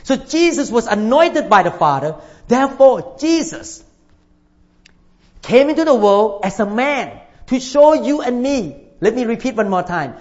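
A male pastor preaches with a fairly high voice, pressing his points by repeating them and asking for amens. The recording is dull and lo-fi, and it sounds like a church hall. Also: sing-song, unhurried, darkish tone. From Thailand. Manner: emphatic, repeats phrases, calls for amens. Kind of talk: sermon.

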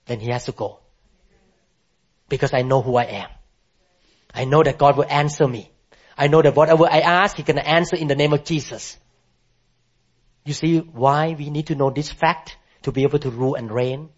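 A man with a high voice preaches to a congregation, pressing his points with emphatic, impassioned declarations and calling for responses from the room. The recording is dull and lo-fi, with a muffled top end. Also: steady tone, moderate pace, long pauses. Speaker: pastor. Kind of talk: sermon.

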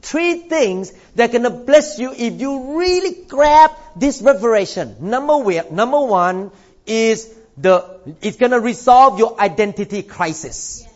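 A man's voice: very high-pitched, animated, a little mellow, lo-fi sound. An older man from Thailand preaching a sermon in a church, stretching out key words for emphasis. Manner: emphatic, drawn-out stresses. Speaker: pastor.

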